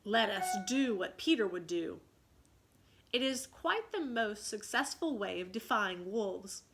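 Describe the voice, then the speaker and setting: A woman teacher reading a children's story aloud with dramatic expression and pausing to ask questions. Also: medium pitch, animated, vocal fry, fairly bright tone, a little hiss or hum.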